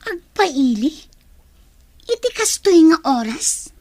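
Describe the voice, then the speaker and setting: Worried young girl-next-door, high swingy voice, ilocano accent, radio drama. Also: big pitch swings, takes it slowly, darkish tone, heavily acted. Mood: puzzled, worried. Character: anxious young woman, puzzled and questioning.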